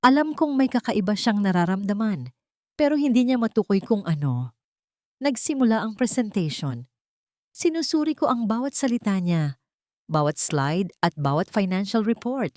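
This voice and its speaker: A woman's voice: mid-range voice, sing-song, normal speed, a little mellow, dry recording, studio quality. A woman with a Filipino accent reading a dramatic first-person story as an audiobook narrator.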